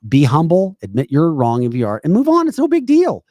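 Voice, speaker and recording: A man's voice: fairly high pitch, huge pitch swings, a little fast, mellow, no room sound. A man co-hosting a political talk podcast, speaking bluntly and emphatically and repeating points for effect. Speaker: podcast co-host. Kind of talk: political talk show chat.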